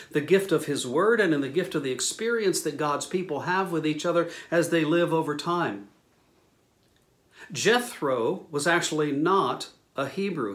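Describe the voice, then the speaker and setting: A man in his sixties preaching a sermon as a pastor, with measured, deliberate phrasing and pauses. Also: a little high. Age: 60s. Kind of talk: sermon, teaching scripture to camera. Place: small indoor room, speaking to camera.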